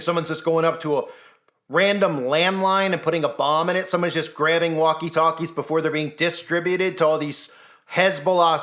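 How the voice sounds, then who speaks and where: Man giving heated solo commentary. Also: fairly high pitch. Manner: animated, rhetorical questions, skeptical. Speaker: commentary channel host. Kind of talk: solo news commentary monologue.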